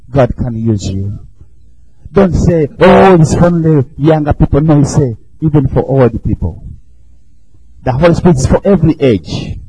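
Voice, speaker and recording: Fervent East African preacher man, high-pitched. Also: husky, dry recording, poor audio. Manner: fervent, shouting, chuckling between lines. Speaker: visiting preacher. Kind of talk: sermon. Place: church.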